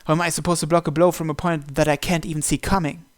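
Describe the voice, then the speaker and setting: High-voiced man reading a story aloud. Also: fast talker, no echo. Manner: expressive, first-person storytelling with occasional stumbles. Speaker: video game player reading a visual novel aloud. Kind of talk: dramatic read-aloud narration.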